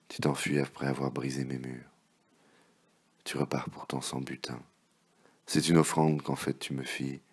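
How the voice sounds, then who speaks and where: A man reciting love poems in a dramatic, impassioned, theatrical manner, with a gravelly, husky voice that can drop to a hushed murmur. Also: extremely low pitch. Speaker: poet reading poems.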